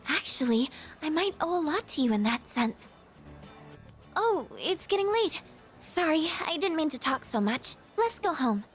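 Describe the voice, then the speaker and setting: A woman acting a shy, gentle, self-doubting teenage girl in an English video game dub, speaking hesitantly and apologetically. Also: high voice, sing-song, a little slow, faint music behind. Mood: grateful. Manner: hesitant, apologetic, soft-spoken.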